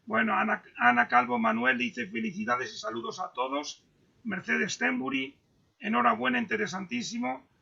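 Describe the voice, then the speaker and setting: A man moderates an online talk over a dull, lo-fi video call. His voice sits high and heavily gravelly, with a bright, tense edge. He halts and repeats words as he reads out questions. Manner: halting, stumbles and repeats words. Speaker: moderator reading audience questions.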